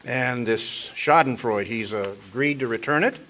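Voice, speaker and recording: A man's voice: medium pitch, normal speed, bright, forceful tone, natural voice. A man playing a cocky hard-boiled private detective in a comic old-time radio drama, slangy and sardonic. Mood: suave, wry. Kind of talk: 1940s-style comic radio detective acting.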